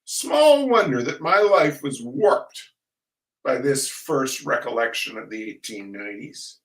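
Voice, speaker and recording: An older man hosting a reading of humorous essays, chatting casually and reading aloud in a wry, theatrical, halting manner. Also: medium pitch, lively intonation, a bit bright. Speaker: host of a weekly humor reading. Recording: roomy echo, distant microphone.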